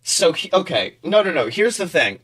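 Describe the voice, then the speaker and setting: A young man co-hosting a casual comedic podcast, talking in an animated, slangy way with profanity and mock seriousness. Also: high voice, moderate pace, slightly rough. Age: young adult. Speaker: podcast co-host.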